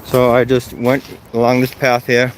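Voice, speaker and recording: A man's voice: medium pitch, completely flat delivery, normal speed. A man with a Boston accent talks through a face mask, so his voice sounds a little muffled. He is upbeat and polite, addressing his viewers like a vlogger. Faint background noise and a passing vehicle sit behind him. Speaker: video creator filming police encounters. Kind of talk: casual vlog commentary.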